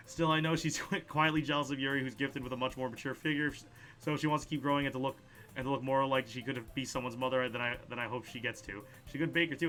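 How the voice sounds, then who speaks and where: A man hosting a video game playthrough, reading dialogue aloud in an animated way, stumbling over words and adding his own side comments. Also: medium pitch. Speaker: let's play host.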